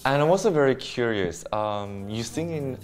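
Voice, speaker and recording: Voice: mid-range voice, expressive, moderate pace, faint music behind. A reporter hosting an interview, asking the band curious, friendly questions. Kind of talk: interview host.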